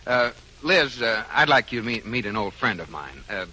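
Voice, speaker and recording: A flustered husband in a vintage radio comedy, exasperated by his wife's antics. His voice is bright and sharp and swings widely in pitch. Faint hiss sits underneath. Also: very animated, normal speed, full character voice, rough recording. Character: flustered middle-aged husband. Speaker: actor playing an exasperated husband. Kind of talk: 1940s radio comedy acting.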